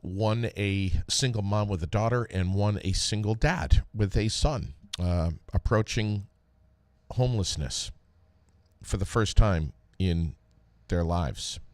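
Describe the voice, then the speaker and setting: Deep-voiced, slow-talking American man. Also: no room sound. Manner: halting, conversational delivery with frequent pauses. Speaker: podcast host, former radio DJ. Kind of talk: casual solo podcast talk with read sponsor plug.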